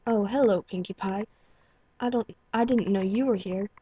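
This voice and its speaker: Stern old pony doc, done by a woman, measured and cool, dry flat sound. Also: mid-range voice, slightly rough, no echo, muffled recording, lightly acted. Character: older male pony doctor, taciturn and protective.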